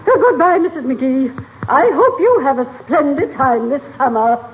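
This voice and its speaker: A woman playing a haughty, sharp-tongued society lady in a 1940s radio sitcom, speaking in pompous, elaborate phrases. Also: sits high, animated, moderate pace. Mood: gracious. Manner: pompous, elaborate wording, cutting. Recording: tinny noisy 1940s radio broadcast.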